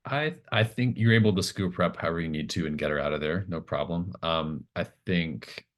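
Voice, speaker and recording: A man acting as game master, narrating a tabletop roleplaying game casually and thinking aloud with hesitant pauses. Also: low-pitched.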